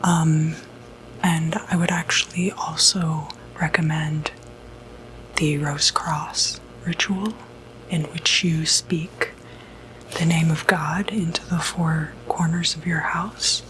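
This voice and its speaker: A woman playing a gentle, reassuring doctor who treats demonic possession, in a hushed, soothing ASMR medical roleplay. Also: low voice, fairly even intonation, a little hiss or hum, low-quality recording. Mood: calm, reassuring. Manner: hushed, unhurried, hesitant, soothing.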